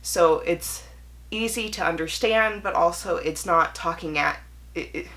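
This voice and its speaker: A woman's voice: lowish voice, measured pace, a bit bright. A young woman talking casually and candidly in a chatty vlog monologue, correcting herself as she goes. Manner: conversational and candid, self-correcting, mimics imagined voices. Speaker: book reviewer and video host.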